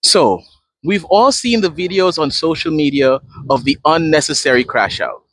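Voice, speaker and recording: A man's voice: fairly high pitch, expressive, moderate pace, a bit bright, dry recording, poor audio. A man from Guyana, a therapist in training, explaining a coping tool step by step in a conversational talk. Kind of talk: direct-to-camera advice talk.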